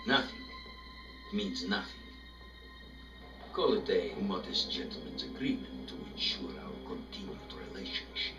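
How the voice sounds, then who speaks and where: An actor playing a weary, stern Soviet leader in a video game cutscene, formal and deliberate, coldly pressing his points. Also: low-pitched, expressive. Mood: grave, pressing. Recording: echoey, distant game audio under music.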